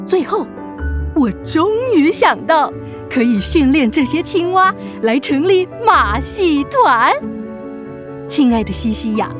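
A woman voicing a kindly, gushing witch aunt who runs a circus, in a children's radio drama. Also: high-pitched. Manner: gushing, chatty, full of exclamations. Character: kindly, bubbly witch aunt, frog-doting circus ringleader.

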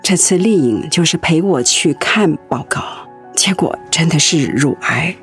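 An actress playing a gentle, anxious wife who cares for her sick husband in a Mandarin radio drama. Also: sits low, animated, a little slow. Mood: reluctant, troubled. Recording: music under the voice.

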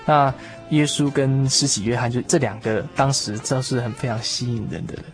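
A man's voice: mid-range voice, normal speed, soft background music. A man hosting a conversational discussion of faith in a reflective, explanatory way. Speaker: radio talk show host.

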